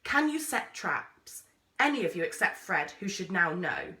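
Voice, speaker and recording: A woman voicing a gruff, abrupt male explorer in a children's storybook, stern and commanding. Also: fairly low pitch, big pitch swings, measured pace, heavily acted. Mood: brisk, challenging. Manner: abrupt, commanding, without gentleness. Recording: roomy echo, distant microphone.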